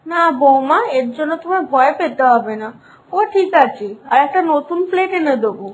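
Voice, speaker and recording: Lady doing a sweet caring mother-in-law for a cartoon, high voice, affectionate, soothing. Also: lively intonation, moderate pace, slight background noise, rough recording. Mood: reassuring. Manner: gentle, reassuring, affectionate. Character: kind, doting mother-in-law in a fairy tale. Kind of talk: animated fairy-tale voice acting.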